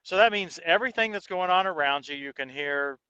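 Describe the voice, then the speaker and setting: Male reviewer, bright forceful tone, casual and explanatory, dry close headset mic. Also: fairly high pitch, brisk, clean tone, no room sound, muffled recording. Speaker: host and product reviewer. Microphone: intercom headset microphone.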